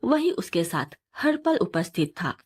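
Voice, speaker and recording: A serene male sage from a storybook, played by a woman, instructing his disciple. The delivery is assured and kindly, with animated, often lifted intonation. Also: lowish voice, a little slow, slightly rough, full character voice.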